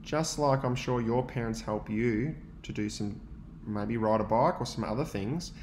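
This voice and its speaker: A young adult male teacher, reading a picture book aloud to young students and pausing to ask them questions. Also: medium pitch, reverberant, slight background noise. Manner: gentle, expressive storytelling with pauses to ask questions.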